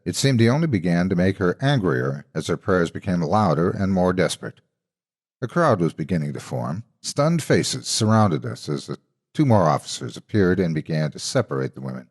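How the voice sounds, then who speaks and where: A man with a deep, low voice delivers scripted horror narration. He reads dramatically, as a storyteller presenting a tale to listeners. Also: a little mellow.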